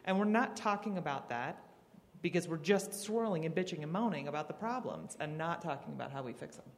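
A panelist from Texas speaks bluntly and casually in a panel discussion. The voice sits at a middle pitch. Long hall reverb trails each phrase. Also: lively intonation, a little fast, a little hiss or hum.

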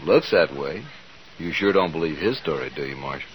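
A deep-voiced frontier townsman with a rural American accent. He is blunt, skeptical and plain-talking, in the manner of a 1950s radio western. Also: animated, moderate pace, rough recording.

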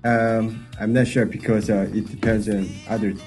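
A man's voice: fairly low pitch, little pitch movement, moderate pace, darkish tone, faint music behind. A man from South Korea, a football fan giving hesitant answers with many uhs and ums.

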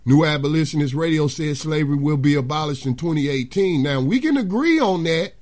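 An older man co-hosting a radio show in casual, folksy chat, his voice slightly hoarse as if he is losing it. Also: a little high, animated, brisk, a little mellow. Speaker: radio co-host.

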